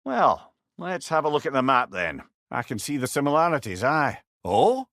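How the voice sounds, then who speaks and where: A man's voice: mid-range voice, sing-song, normal speed, fairly bright tone, no echo, studio quality. A man playing a wise, wry old advisor in a video game, a chatty and learned storyteller with a Scottish accent. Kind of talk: video game character dialogue.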